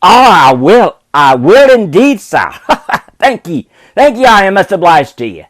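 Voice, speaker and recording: A flustered gentleman gushes his thanks in a voice that jumps high and low, as a man voices him in a read-aloud story. He sounds effusive and a little overwhelmed. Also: wildly swooping pitch, a little slow, exaggerated voice. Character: flustered, grateful gentleman collecting for charity.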